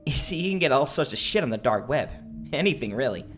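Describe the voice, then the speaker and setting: A man's voice: mid-range voice, sing-song, fast talker, fairly bright tone, soft background music. An actor playing a bitter, boastful young man, an internet misogynist, in a dramatic, self-pitying audio drama style. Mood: smug, fervent.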